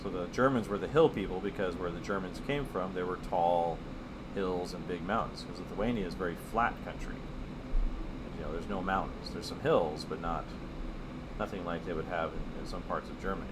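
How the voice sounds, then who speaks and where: Man, very deep voice, casual art teacher, digressive chat, air conditioner noise in background. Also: brisk. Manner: chatty and digressive, with many fillers. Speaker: art teacher streaming live. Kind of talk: casual rambling chat while drawing.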